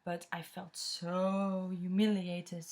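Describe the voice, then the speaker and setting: A woman's voice: fairly low pitch, flat delivery, slow, darkish tone, slight background noise. A woman in her twenties with a Dutch accent, chatting openly as she answers viewer questions in a vlog. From Netherlands. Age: 20s. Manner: chatty, open, rambling storyteller. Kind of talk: casual chat.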